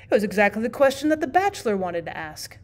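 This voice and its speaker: A woman's voice: mid-range voice, very animated, quick pace. A woman reading aloud like a teacher, in scripted audiobook narration of a classic short story.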